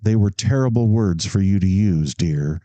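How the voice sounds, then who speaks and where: A man voicing a gentle, indulgent mother who scolds her son mildly and without severity. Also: low voice, expressive, normal speed, no echo. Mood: gently reproving.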